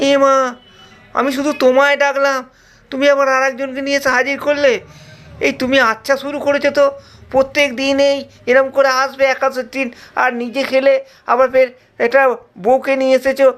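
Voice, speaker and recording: A man's voice: very high voice, completely flat delivery, fairly quick, a bit bright, pitched above the performer's own voice. A man voicing a hungry, hot-tempered young male disciple who complains in a whiny, indignant way. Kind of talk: storytelling voice acting.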